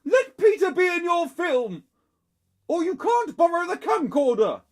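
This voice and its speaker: A narrator voicing a stern, exasperated mother who yells scoldings in a children's storybook. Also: very high-pitched, very animated, a little slow, pitched above the performer's own voice, exaggerated voice. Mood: scolding, yelling. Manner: scolding shouts.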